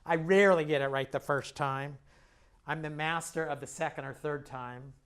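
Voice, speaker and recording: A man teaching painting as he works, chatty and self-deprecating, his voice a little raised in pitch. He sounds slightly hoarse now and then. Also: fairly even intonation, normal speed, fairly bright tone. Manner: chatty, self-deprecating and jokey while working; talks while painting and stepping back from the canvas. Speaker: painting instructor. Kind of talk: casual painting demonstration.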